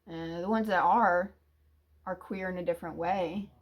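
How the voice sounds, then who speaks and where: A woman in a confessional monologue, talking hesitantly and emotionally with many restarts and sighs, sometimes dropping to a quiet low mumble. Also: steady tone, moderate pace, dull audio. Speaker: YouTuber.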